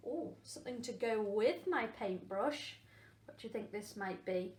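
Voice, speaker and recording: A woman, a primary school teacher, leading an interactive phonics lesson for young children, encouraging them and asking questions with pauses for answers. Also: a little high, expressive, darkish tone. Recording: echoey room with faint background noise.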